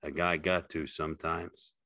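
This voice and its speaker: A man as a calm, deep-voiced, kindly ranch hand. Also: measured pace, no echo, heavily acted. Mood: consoling. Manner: gentle, folksy, consoling. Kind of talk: audiobook character acting.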